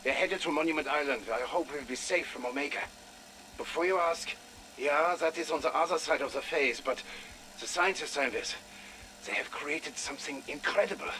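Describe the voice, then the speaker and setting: Anxious German scientist, German accent, highish bright voice, urgent, game audio message, faint noise. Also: male voice, moderate pace, low-quality recording. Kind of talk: dramatic recorded message from a game.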